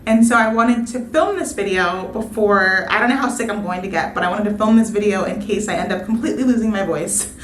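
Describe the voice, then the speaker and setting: A woman's voice: medium pitch, fairly quick, a bit bright, echoey room, a little hiss or hum, low-quality recording. A young woman, a nursing student, chatting casually on her vlog while coming down with a sore throat. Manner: chatty, candid, self-deprecating. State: getting sick, sore throat. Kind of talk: casual coffee-and-chat vlog.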